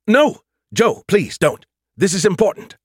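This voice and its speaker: A man voicing an excitable, arrogant artificial intelligence in an audiobook, flippant and quick to insult. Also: mid-range voice, breathy edge, no room sound, studio quality, pitched above the performer's own voice. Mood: pleading.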